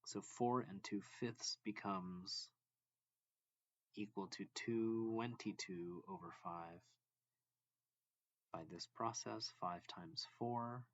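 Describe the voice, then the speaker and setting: A man reading a math lesson aloud as a teacher, deliberately and step by step, phrase by phrase. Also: lowish voice, no echo, highs cut off. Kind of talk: slow read-along lesson narration.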